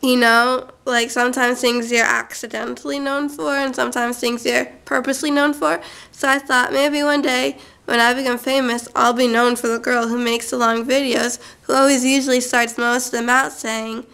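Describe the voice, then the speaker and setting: Chatty young woman with a creaky, bright voice. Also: a little high, level tone, normal speed. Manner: chatty, goes off on tangents, many fillers. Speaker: vlogger. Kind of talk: rambling casual monologue to camera. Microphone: camera mic.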